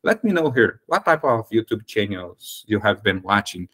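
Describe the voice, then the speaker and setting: A man's voice: fairly low pitch, sing-song, normal speed, fairly bright tone, husky. A Brazilian man with a Brazilian Portuguese accent hosting a casual English practice chat, speaking hesitant non-native English with frequent fillers. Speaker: host.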